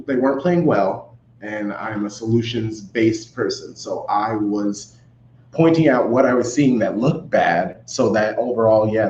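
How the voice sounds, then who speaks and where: Football podcast guest, man, lower register, casual talk, echoey room. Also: a little mellow. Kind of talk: casual sports podcast chat. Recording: roomy, dull sound with faint noise.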